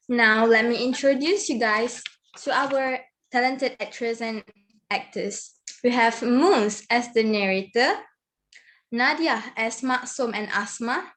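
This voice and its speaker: A woman hosts an online event, speaking to an audience. Her voice is on the high side. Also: fairly bright tone. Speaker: event host. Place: online video call.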